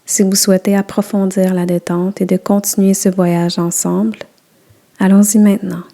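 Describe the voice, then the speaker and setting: A woman guiding a yoga nidra relaxation session, giving soft, soothing instructions. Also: mid-range voice, flat delivery, moderate pace, vocal fry. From Quebec. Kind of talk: guided relaxation.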